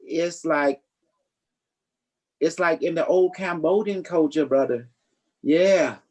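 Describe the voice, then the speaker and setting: Psychic livestream host, boastful and playful, mid-pitched, loose improvised chat in non-standard grammar. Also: takes it slowly, a little mellow.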